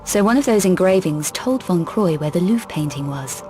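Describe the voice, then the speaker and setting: A woman's voice: fairly low pitch, expressive, moderate pace, natural voice. An actress playing a tough, cool, sardonic young female adventurer in a video game, speaking in a curt, dry, probing manner. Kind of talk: dramatic video game cut-scene acting. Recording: loud music under the voice.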